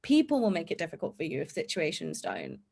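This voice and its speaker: A woman, a podcast guest who speaks in a candid, animated way and is quick to laugh. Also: fairly low pitch, vocal fry. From England. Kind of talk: conversational podcast discussion.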